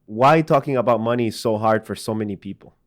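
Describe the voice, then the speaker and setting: A man's voice: fairly low pitch, fast. A man from El Salvador, interviewing in a casual, informal way as a podcast host.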